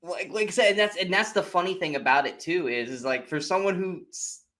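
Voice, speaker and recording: A man co-hosting a film podcast in a casual chat, animated and sweary, acting out his reactions as he retells things. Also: high-pitched, fairly quick. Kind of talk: casual movie spoiler chat.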